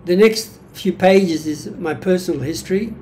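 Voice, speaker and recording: A man in his seventies with an Australian accent, giving a reflective personal monologue from his notes in a halting, deliberate manner. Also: sits high, a little mellow, a little hiss or hum. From Greek-born, grew up in Australia. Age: 70s. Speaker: vlogger sharing personal history. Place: small indoor room at home.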